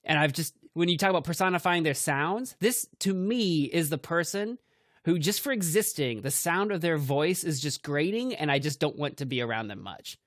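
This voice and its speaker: A young man co-hosting a podcast in casual chat, with a higher-pitched voice, laughing often and leaning on "like" fillers. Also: expressive, moderate pace, fairly bright tone, no echo, studio quality. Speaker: podcast co-host. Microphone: home mic over voice chat.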